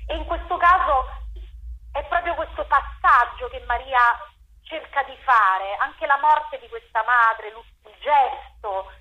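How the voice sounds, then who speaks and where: Casting: woman, theatre director being interviewed, bright and slightly high voice, thoughtful with repeated words. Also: takes it slowly, low-quality recording. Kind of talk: radio interview, explaining own work.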